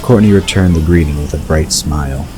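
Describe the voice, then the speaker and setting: Very deep-voiced male story narrator. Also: a little fast, music in the background. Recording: clear background ambience noise.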